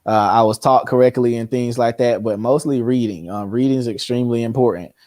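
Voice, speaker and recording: A man in his early twenties speaking casually as a guest on a video call, relaxed, informal and quick to laugh. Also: mid-range voice, dry recording, clean studio sound. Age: early 20s. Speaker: guest, youth organization founder. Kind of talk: casual conversation.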